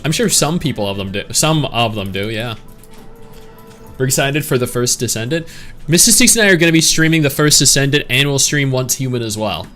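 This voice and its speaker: A man's voice: medium pitch, lively intonation, fairly quick, sharp tone, faint music behind. A man streaming, chatting casually and opinionated as he reads and answers his live chat.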